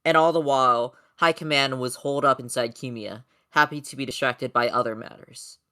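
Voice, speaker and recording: A person voicing a solemn robot war leader in grave, measured, reflective comic-dub narration. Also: mid-range voice, steady tone, sharp tone, smooth, close to an everyday voice.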